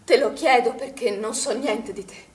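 A woman's voice: a little high, normal speed, darkish tone, echoey room, natural voice. An actress playing a worried, probing swimming pool manager in a naturalistic stage drama, insistent and guarded in her questioning. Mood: controlled, probing.

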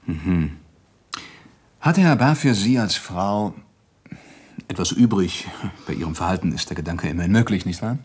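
A man's voice: low-pitched, expressive, normal speed, long pauses, darkish tone, breathy edge. A man playing a businesslike, brusque police inspector in a radio play, questioning in a clipped manner. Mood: probing. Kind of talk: German radio play acting, interrogation dialogue.

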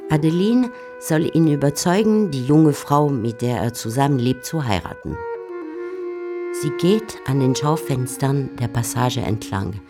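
A woman's voice: rumbling low, lively intonation, measured pace. A woman narrating a radio drama in a scripted literary style. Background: guitar music.

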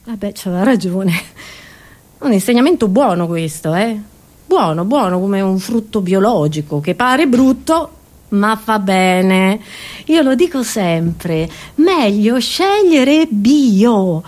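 A woman performing a theatrical, satirical comedy monologue, expressive and ironic, with rhetorical asides to the audience. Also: mid-range voice, takes it slowly. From Italy.

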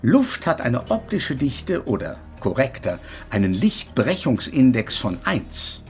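An actor playing an invisible male physics professor in a German radio drama, haughty and exasperated, lecturing with pedantic precision in a husky voice. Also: mid-range voice, very animated, a little slow, darkish tone, soft background music. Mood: lecturing, precise.

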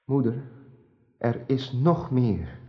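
A tormented, pious knight in a radio play. His medium-pitched voice is earnest and formal, with fervour. The recording lacks some clarity at the top. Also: animated, takes it slowly, highs cut off. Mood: ashamed. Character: anguished, devout knight burdened by guilt. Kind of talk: radio drama acting.

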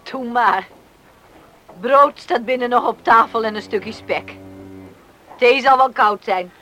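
An actress playing a firm, no-nonsense farm mother with a brisk, terse manner in a Dutch radio play. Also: fairly high pitch, pushed and bright, slight background noise, low-quality recording. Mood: curt but caring.

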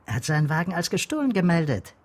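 An actor playing a dry, wry, middle-aged veteran police detective in a radio drama, with a husky voice. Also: male voice, on the high side, big pitch swings, quick pace. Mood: factual, wry. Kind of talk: naturalistic radio play dialogue.

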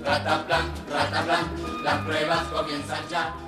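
A woman's voice: deep voice, level tone, takes it slowly, reverberant. A woman singing children's story songs, recorded distantly with music behind.

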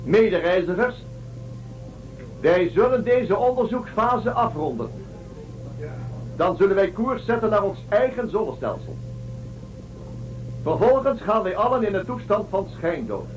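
A starship commander played by a man addresses the travellers in a high voice. The delivery is formal and declamatory, solemn science-fiction radio drama with faint music. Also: lively intonation, a little slow, pauses often, rough recording. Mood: solemn, resolute.

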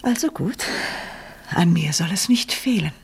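A woman's voice: on the low side, animated, measured pace, a little mellow, breathy edge, full character voice. An actress in a radio play, playing a scheming, haughty aristocratic lady who speaks with formal, imperious irony. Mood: cool, calculating. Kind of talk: 1970s German radio play acting.

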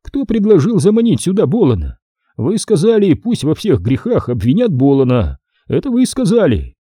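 A man's voice: mid-range voice, very animated, normal speed, warm tone, husky, dry recording, studio quality, pitched above the performer's own voice. A man voicing a depraved British major in a story, a sardonic villain who speaks with mannered, mocking politeness. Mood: ironic. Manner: mannered, mockingly courteous speech.

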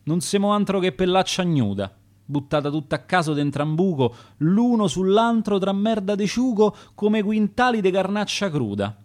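A man's voice: a little high, darkish tone, voice pushed up, close to an everyday voice. Man doing an embittered Roman ghost, coarse and resentful. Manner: coarse, bitter. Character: bitter angry dead man in mass grave.

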